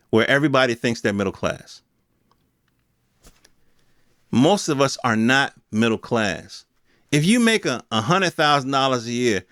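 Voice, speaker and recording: An American man with an average-pitched voice speaks as a podcast host. He delivers unscripted opinion on the news, colloquial and emphatic, often repeating himself to drive a point. The sound is close and dry, with hardly any room on it. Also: a bit bright, no room sound. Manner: emphatic, repetitive, colloquial rhetorical ranting.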